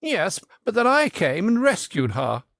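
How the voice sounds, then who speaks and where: A man's voice: high voice, huge pitch swings, unhurried, gravelly, fairly bright tone, no echo, studio quality, voice pushed up, exaggerated voice. A man voicing a gallant, formal knight in a children's storybook, with a proper, declamatory manner. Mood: formal, firm.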